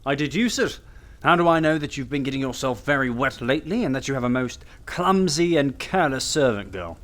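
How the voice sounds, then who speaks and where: A man's voice: medium pitch, expressive, brisk, fairly bright tone, lightly acted. A man voicing a brilliant, confident, teasing detective in an audiobook, speaking in a clipped, self-assured, theatrical way. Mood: confident deduction. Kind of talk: audiobook character dialogue.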